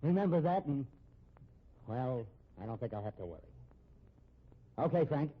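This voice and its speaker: A man acting in a 1950s radio drama as a cornered fugitive gunman, sardonic and menacing, with a husky voice. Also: medium pitch, lively intonation, normal speed. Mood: taunting, commanding. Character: cornered fugitive gunman, cool, taunting, protective of sister. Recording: muffled old radio recording.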